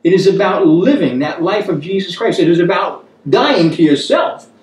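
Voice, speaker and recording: A man's voice: high voice, expressive, normal speed, darkish tone. A man in his fifties teaching a Bible study like a sermon, earnest and exhorting, repeating phrases for emphasis. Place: small room at a wooden table, indoors. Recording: echoey room, distant microphone.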